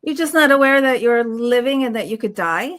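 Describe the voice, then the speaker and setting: Woman, somewhat high pitch, podcast host, casual conversational interviewing. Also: lively intonation, a little fast, a bit bright.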